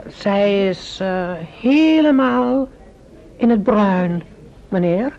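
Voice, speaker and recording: A woman playing an eager, deferential young servant boy in a Dutch radio play, speaking in a deliberate, respectful way. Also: medium pitch, lively intonation, darkish tone. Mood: careful, deferential. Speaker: radio drama performer. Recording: muffled old radio recording.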